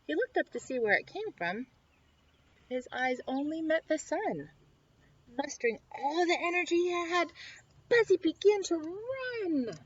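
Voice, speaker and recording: A woman reading a children's picture book aloud expressively, with dramatic, drawn-out emphasis on key words. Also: very high voice, expressive, no echo. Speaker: story time reader. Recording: dull lo-fi sound.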